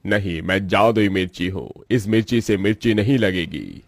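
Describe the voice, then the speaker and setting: Man voicing a deep magical talking house. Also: normal speed, creaky, no room sound, clean studio sound. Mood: reassuring. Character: magical talking chilli house, male, kindly, reassuring provider.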